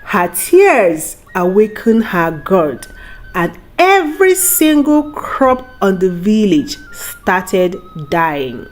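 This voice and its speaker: A woman storyteller narrates a folktale at a slow, deliberate pace. Her delivery is dramatic, with pitch that moves freely up and down. Music plays underneath her voice. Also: sing-song.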